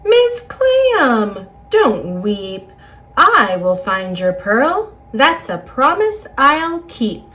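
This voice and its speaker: A woman's voice: on the high side, huge pitch swings, extremely slow, a bit bright, heavily acted. A woman voicing an earnest, anxious male fish from a picture book in emphatic, singsong rhyme. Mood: earnest, reassuring. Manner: emphatic, singsong rhyming declarations. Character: earnest, anxious male cartoon fish, brave but afraid.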